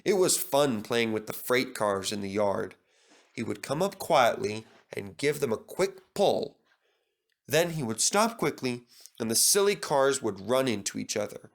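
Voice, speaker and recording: A man narrates a children's storybook at an unhurried, slow pace. His delivery is very animated, rising and falling widely in pitch as a storyteller reading from a script.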